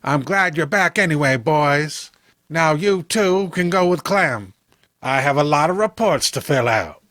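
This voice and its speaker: A skeptical small-town sheriff from a horror comic, voiced by a male reader. He is commanding and brisk, quick to dismiss wild tales. The sound is bright and dry. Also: a little high, lively intonation, dry recording. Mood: businesslike. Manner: dismissive, authoritative.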